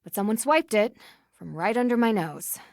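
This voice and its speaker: A young woman detective in a video game. She is earnest and methodical, with a mid-pitched voice and determined manner. The recording is very dry. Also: expressive, moderate pace, a little mellow, dry recording. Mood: focused. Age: young adult. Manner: clear-headed, methodical, explanatory.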